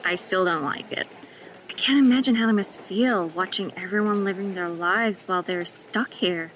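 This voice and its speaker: A woman's voice: mid-range voice, moderate pace, a bit bright, slight background noise, lightly acted, low-quality recording. A woman playing an earnest, hesitant new nurse. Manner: tentative, earnest. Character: hesitant, kind-hearted young female nurse. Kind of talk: audio drama acting.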